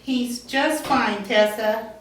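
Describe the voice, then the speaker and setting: A woman plays a nervous young countrywoman with a high, hesitant voice in an amateur screenplay reading. She sounds far from the mic in a very echoey room. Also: slow, slight background noise. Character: young, nervous, pretty countrywoman, mildly apologetic. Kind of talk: amateur screenplay table read.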